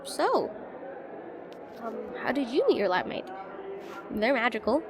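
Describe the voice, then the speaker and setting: A woman's voice: fairly high pitch, big pitch swings, a little slow. A woman playing a shy, rambling young witch student in a slice-of-life fantasy audio roleplay, chatty, hesitant and self-deprecating. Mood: warm, chatty. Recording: voice over busy classroom crowd ambience.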